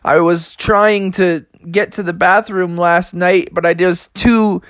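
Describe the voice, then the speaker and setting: A storyteller voicing a hungry, apologetic male shark in a plaintive, drawn-out, laid-back dude manner. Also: mid-range voice, steady tone, a bit bright, no room sound, voice pushed up, close to an everyday voice. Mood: whiny, pained.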